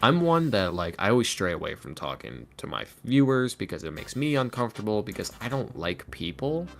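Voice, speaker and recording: A man chatting casually as a podcast guest, animated and halting, often repeating himself as he goes. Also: fairly low pitch, vocal fry. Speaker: podcast guest, ASMR roleplay creator and voice actor. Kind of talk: casual interview chat. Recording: music playing behind the voice. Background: background music.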